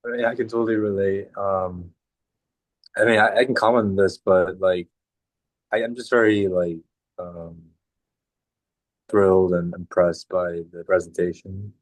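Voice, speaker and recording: A man's voice: low voice, fairly even intonation, measured pace, pauses often, dry recording, low-quality recording. A man whose first language was Irish, speaking as a student in an online class in a rambling, hesitant way with many restarts. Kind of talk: casual class discussion. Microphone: video call.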